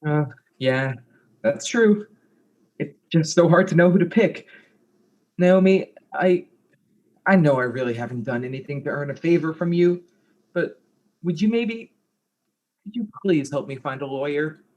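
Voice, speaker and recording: A man's voice: on the high side, expressive, unhurried, long pauses, darkish tone, dull audio. A man playing a naive, affectionate, goofy space butler with a hesitant, earnest manner in an audio comedy. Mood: hopeful, sheepish. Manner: hesitant, earnest, dim but sweet. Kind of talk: comedic audio drama acting.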